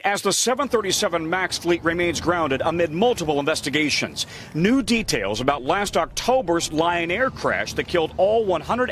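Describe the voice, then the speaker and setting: American guy news reporter, kinda high voice, punchy dramatic delivery, bit of noise behind. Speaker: TV news correspondent.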